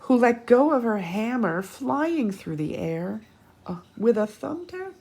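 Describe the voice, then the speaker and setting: A woman reading a picture book aloud to children as a storytime host, animated and teacherly, with dramatic delivery and little asides. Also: on the high side, a little slow, breathy edge.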